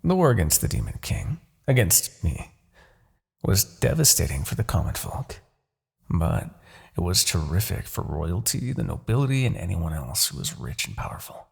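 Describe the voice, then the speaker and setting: A low, gravelly demon king played by a man for a fantasy ASMR roleplay. He is a smug, seductive tyrant who taunts and manipulates. His delivery swings widely and theatrically, drawing out words with condescension. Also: sing-song, moderate pace, a little mellow, full character voice. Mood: scornful, persuasive.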